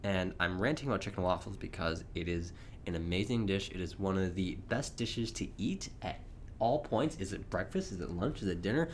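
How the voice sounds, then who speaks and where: Rambling young man, slightly hoarse. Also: sits low, animated, brisk, slight background noise. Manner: rambling, chatty, with frequent chuckles. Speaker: podcast host. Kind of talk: casual solo monologue.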